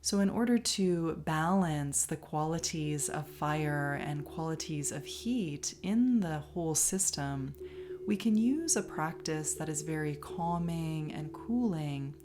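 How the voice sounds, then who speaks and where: A woman leading a guided relaxation as a yoga teacher, calm and soothing, leaving long pauses between phrases. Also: deep voice, noisy background. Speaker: yoga nidra instructor. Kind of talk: guided meditation, relaxation instruction. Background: music behind the voice.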